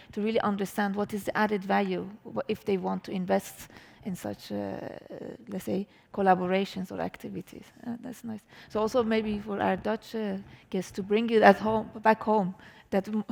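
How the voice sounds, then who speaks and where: A woman's voice: medium pitch, gravelly. A woman moderating a live panel discussion. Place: indoor panel event in a hall. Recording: reverberant hall.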